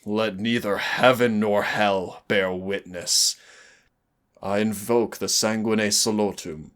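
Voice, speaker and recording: A man voicing a brooding demon prince who is tender toward his hunter lover, gravelly and husky, slow and intimate in a romantic audio roleplay. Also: on the low side, steady tone, a bit bright. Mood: solemn incantation. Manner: slow, dramatic, intimate delivery. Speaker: voice actor.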